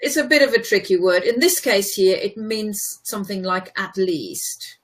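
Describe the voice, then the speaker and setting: A woman's voice: medium pitch, lively intonation, normal speed. A woman whose first language is German, from Germany, tutoring in a casual chat, patient and explanatory, laughing easily.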